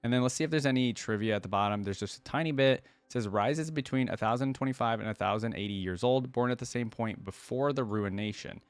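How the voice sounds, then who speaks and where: A man's voice: medium pitch, fast, hardly any pauses, no room sound. A man giving casual commentary as he reads aloud and reacts, hosting a game reaction video.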